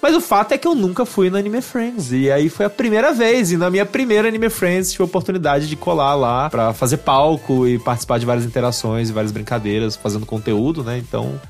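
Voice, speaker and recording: A man guesting on a casual podcast tells stories in a joking, animated way. His voice sits a little high for a man, and faint music plays underneath. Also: brisk, hardly any pauses. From Rio de Janeiro, Brazil. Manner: animated, jokey storyteller.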